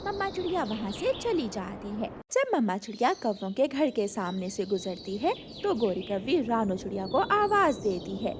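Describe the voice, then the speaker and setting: A woman's voice: a little high, very animated, moderate pace, few pauses, noisy background. A woman narrating a children's cartoon story as a scripted storyteller.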